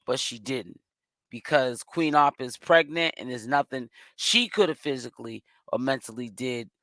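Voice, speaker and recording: A hoarse-voiced man talks as a commentary host, casually running through a gossip update. His pitch is middling for a man. The sound is dry and close, with no room around it. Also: a bit bright, no echo.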